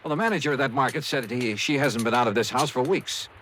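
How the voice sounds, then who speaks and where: Male actor for tough detective lead, old-time radio crime serial; medium pitch, terse, wry delivery. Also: fast, a bit bright, slight background noise, lightly acted. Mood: suspicious. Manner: clipped, dry, hard-boiled. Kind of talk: 1950s radio detective acting with first-person narration.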